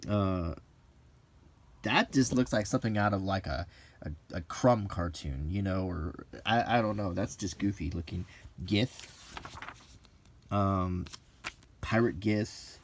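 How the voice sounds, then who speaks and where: A middle-aged man talks in a fairly low voice at a very unhurried pace. He gives casual commentary in short, halting phrases, musing aloud as he browses. There is some background noise with small clicks. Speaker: host of a hobby channel. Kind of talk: casual unscripted commentary while leafing through a book.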